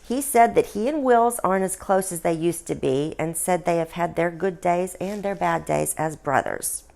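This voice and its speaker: A woman's voice: lowish voice, normal speed, vocal fry, darkish tone. A woman voicing a sly, gossipy ghost princess in a satirical storybook tale, speaking in an arch and knowing way. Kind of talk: storybook dialogue reading.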